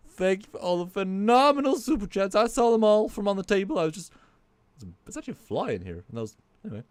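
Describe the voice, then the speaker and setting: A man's voice: high-pitched, no echo, voice pushed up. A man voicing a grumpy male puppet, a former Shakespearean actor stuck with a put-on Southern colonel drawl, theatrical and insulting. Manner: theatrical, insulting, self-pitying bluster. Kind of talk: comic puppet bit on a livestream.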